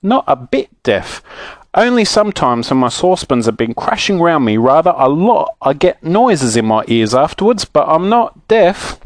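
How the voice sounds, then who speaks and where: A man voicing an excitable, muddled old man who mishears and gets easily vexed, in a children's story. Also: on the high side, normal speed, no room sound, clean studio sound, pitched above the performer's own voice.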